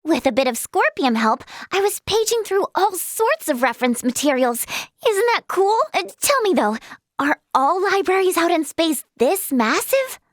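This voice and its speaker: A woman playing an eager, kind-hearted young female healer in a fantasy video game, earnest, expressive and girlish in manner. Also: very high voice, normal speed, no echo, studio quality. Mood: curious, earnest. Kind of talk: video game character acting.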